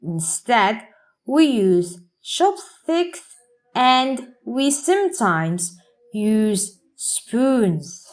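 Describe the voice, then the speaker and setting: A woman English teacher reading a practice passage aloud for learners, enunciating deliberately word by word. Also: a little high, sing-song.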